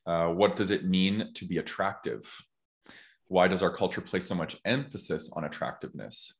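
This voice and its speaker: Low-pitched man hosting a podcast. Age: 30s. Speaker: podcast host. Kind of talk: reflective conversational podcast talk.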